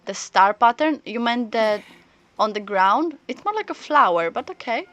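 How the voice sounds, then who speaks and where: Woman, game streamer, casual chat, halting and rambling, thinking out loud, faint game music behind. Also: on the high side, lively intonation, dry recording, highs cut off. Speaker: live streamer. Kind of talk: casual chat while playing a story game.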